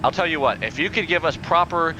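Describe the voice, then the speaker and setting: A young man playing a pilot in a flight game, using formal radio phrasing in a deadpan, increasingly exasperated way over a headset. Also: fairly high pitch, a little fast, background noise. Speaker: pilot player in a flight sim. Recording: game audio in background.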